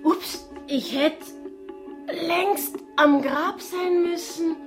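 A woman's voice: very high-pitched, drawn-out words, over music, full character voice. A woman playing a stoned, scatterbrained funeral singer who sounds flustered and dazed in a comic radio play.

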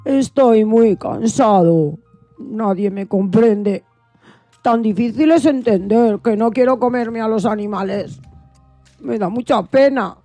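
Woman playing a slow, unhappy vegan crocodile. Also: a little high, warm tone, no room sound, faint music behind. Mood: sad, weary. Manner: plaintive, confiding, addresses the listener. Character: unhappy, misunderstood vegan crocodile, male, gentle. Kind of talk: children's radio play, first-person storytelling.

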